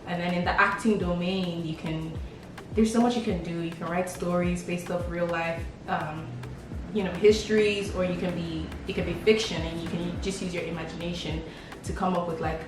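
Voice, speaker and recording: Echoey, low-voiced young woman vlogger. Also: steady tone, moderate pace, over music. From Lagos, Nigeria. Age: young adult. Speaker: vlogger answering questions about herself. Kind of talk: casual chat. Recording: echoey room, distant mic, background beat.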